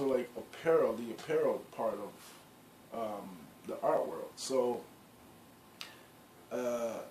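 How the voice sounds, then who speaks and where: A man's voice: mid-range voice, a little mellow. Middle-aged man telling his story. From Guyana. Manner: rambling, lots of "you know" and restarts. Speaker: clothing brand founder. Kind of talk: casual storytelling interview. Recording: echoey room with faint background noise.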